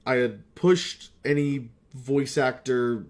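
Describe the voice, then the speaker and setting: A man speaks at mid pitch in a loose, confessional ramble, like a casual vlog. He is candid and self-deprecating, and swears freely as he reflects. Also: fairly even intonation, takes it slowly. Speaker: voice actor reflecting on hobby career.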